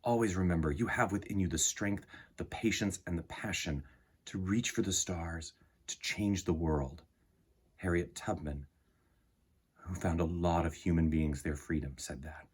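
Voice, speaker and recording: A man hosting a children's storytime, reading aloud and talking to young listeners in an earnest, emphatic, deliberate way. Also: deep voice, darkish tone, husky. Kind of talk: expressive storybook read-aloud and talk to children.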